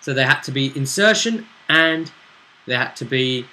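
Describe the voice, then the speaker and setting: A man chatting casually as game master, recapping a tabletop role-play session in a halting, storytelling way with pauses. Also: mid-range voice, expressive, bright, forceful tone.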